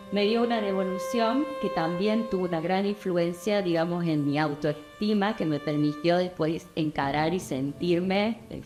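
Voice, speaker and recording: Reflective Argentine woman painter, soft music. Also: lowish voice. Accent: Argentine Spanish. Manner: reflective, measured storytelling. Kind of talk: documentary interview.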